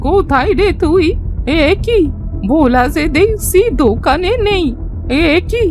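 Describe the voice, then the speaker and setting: A woman voicing a crafty old granny in a cartoon, with a wheedling, drawn-out way of talking. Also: very high voice, expressive. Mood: scheming. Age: older. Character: crafty old granny who craves yogurt. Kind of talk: Bengali cartoon voice acting. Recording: loud music behind the voice. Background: music.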